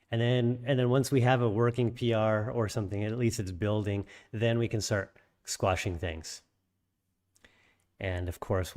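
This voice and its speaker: Low-voiced man teaching a coding lesson. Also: darkish tone. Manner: explanatory, many ums and restarts. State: slightly raspy after illness. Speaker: programming instructor. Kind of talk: screen-share coding tutorial.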